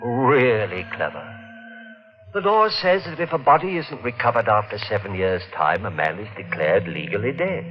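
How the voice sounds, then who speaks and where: A cold, scheming husband. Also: male voice, on the low side, sing-song, normal speed, faint music behind. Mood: sardonic. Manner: hard, curt, sardonic. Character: cold, scheming husband narrating his crime. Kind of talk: 1950s radio drama acting and narration. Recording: dull vintage 1950s radio recording.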